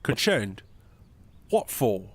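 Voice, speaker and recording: An actor playing a stern, proud royal advisor who speaks formally and with clipped authority. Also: male voice, mid-range voice, sing-song, drawn-out words, heavily acted. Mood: stern, authoritative. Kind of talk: audio drama acting.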